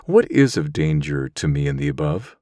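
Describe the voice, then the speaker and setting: A man's voice: rumbling low, very animated, moderate pace, warm tone, no echo, clean studio sound. A man voicing a stern, protective winged hunter and older brother, firm and brooding, in an audiobook. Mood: teasing.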